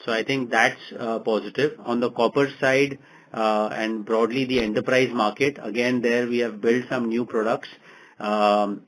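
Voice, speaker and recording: Male executive, average pitch, measured and halting, answering investor questions. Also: rough recording. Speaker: company executive. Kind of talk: earnings call answers.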